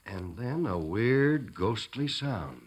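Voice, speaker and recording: A man's voice: lowish voice, big pitch swings, slow pace, music in the background. A man announcing from a script in polished, dramatic 1940s radio style.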